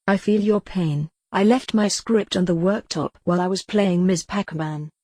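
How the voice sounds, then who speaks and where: A synthetic female text-to-speech voice playing a calm, wry retro computer who thinks she's a cat, deadpan in an audio comedy drama. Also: fairly low pitch, moderate pace, dry recording, clean studio sound, lightly acted.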